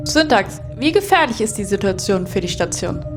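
A woman playing a terse, sardonic space station captain in a science fiction audio drama, brisk and dry in her commands. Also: mid-range voice, sing-song. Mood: businesslike. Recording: music bed behind voice.

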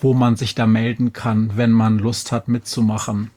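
A man's voice: medium pitch, little pitch movement, moderate pace, dark tone. A man moderating a casual group podcast conversation.

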